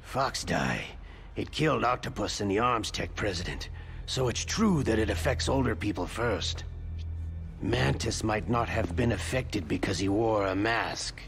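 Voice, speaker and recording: An actor playing a cool, pragmatic henchman to a villain in a video game cutscene, measured and matter-of-fact. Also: lowish voice, animated, gravelly, a bit bright, faint music behind, heavily acted. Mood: thoughtful. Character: cool, pragmatic Russian gunman, the boss's right-hand man.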